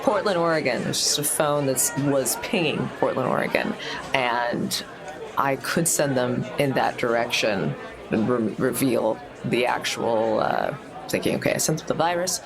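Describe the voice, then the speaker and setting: Male player, gravelly, thinks aloud with frequent laughs; crowd behind. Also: medium pitch, moderate pace. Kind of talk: casual tabletop play.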